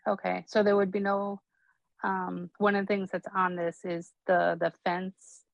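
A woman's voice: mid-range voice, flat delivery, a little slow, vocal fry, dry recording. A woman applying to a zoning board on a video call, asking questions in an uncertain way. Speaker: applicant before a zoning board. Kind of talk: questions at a public meeting. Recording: dry muffled video-call audio.

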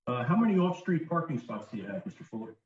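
A man running formal proceedings at a zoning board meeting on a video call, brisk and procedural. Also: mid-range voice, very animated. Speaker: board attorney. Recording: echoey distant muffled call audio.

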